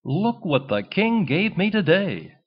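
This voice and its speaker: A man's voice: sits high, big pitch swings, slow, slightly rough, lo-fi sound, voice pushed up, heavily acted. A man voicing a plucky, naive little duckling boy in a children's storybook, eager and pleading. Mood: delighted.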